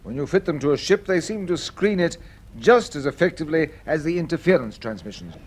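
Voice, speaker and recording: A methodical military colonel in 1950s radio drama. He explains politely, with small hesitations and a highish, varied pitch. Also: animated, fairly quick, breathy edge. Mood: explanatory.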